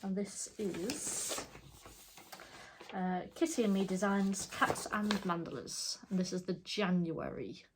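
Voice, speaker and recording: A woman's voice: medium pitch, slow pace, noisy background. A middle-aged woman with a stuffed-up voice, chatting casually as she shows her cross stitch projects, rambling and correcting herself. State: stuffed up, congested. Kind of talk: casual show-and-tell chat.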